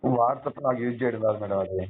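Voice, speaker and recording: A young man calls over a tinny phone line with faint background noise. He talks quickly and casually, deferential and a little hesitant as he asks simple questions. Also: medium pitch. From rural village in Telugu-speaking India. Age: 20s. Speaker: caller. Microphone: telephone call.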